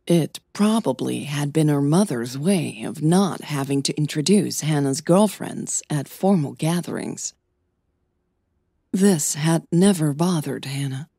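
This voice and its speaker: A woman's voice: low voice, gravelly, a little mellow, slightly rough, dry recording, studio quality. A woman reading scripted fiction as the narrator of an audio drama podcast.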